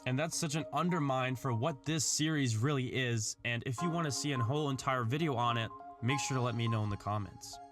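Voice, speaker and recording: A man's voice: mid-range voice, fairly quick, hardly any pauses. A man reading an earnest, articulate scripted narration in the style of a video essay. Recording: background music under the voice. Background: music.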